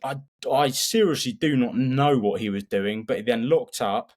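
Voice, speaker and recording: A man's voice: mid-range voice, normal speed. A man co-hosting a motorsport podcast, analysing in a casual, opinionated and emphatic way.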